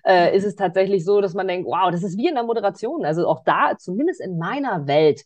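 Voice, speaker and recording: A woman's voice: mid-range voice, lively intonation, fairly quick, a little mellow, dry recording. A woman hosting a conversational interview in a wordy, encouraging way. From Berlin, Germany. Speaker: podcast interviewer.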